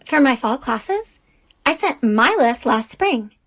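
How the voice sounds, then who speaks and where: A woman's voice: high-pitched, big pitch swings, slow, a bit bright, exaggerated voice. An actress playing an earnest, anxious woman college professor in an audio drama, often sounding protesting. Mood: defensive.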